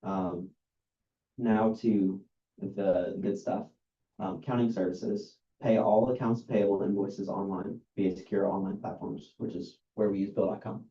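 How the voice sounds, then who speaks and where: A man reads a service list aloud at a meeting in a flat, monotone delivery, stopping often on "um" and "uh." His voice is very dark and low, and the lo-fi recording has a roomy, echoey meeting-room sound. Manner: halting, many ums and uhs. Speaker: outside accountant.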